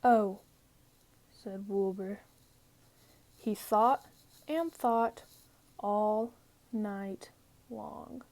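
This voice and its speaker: A woman narrating a children's story from a script in a measured, deliberate way. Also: medium pitch, steady tone, vocal fry, a little mellow. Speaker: storybook reader.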